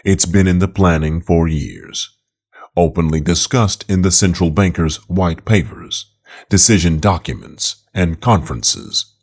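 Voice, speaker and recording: A man's voice: low-pitched, darkish tone. A man reading an opinion article aloud as scripted narration.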